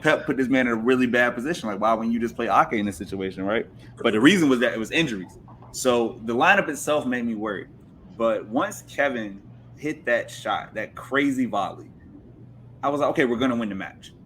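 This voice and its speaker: A man's voice: mid-range voice, a bit bright, slight background noise. A man recounting matches on a casual sports podcast in a colloquial, joking way. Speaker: podcast guest, football fan.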